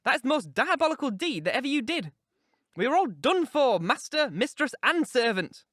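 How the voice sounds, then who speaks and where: A man's voice: high voice, animated, brisk, fairly bright tone, dry recording, studio quality, pitched above the performer's own voice. A man voicing an agitated, outspoken female servant who scolds with urgent reproach in an audiobook drama. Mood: frantic reproach.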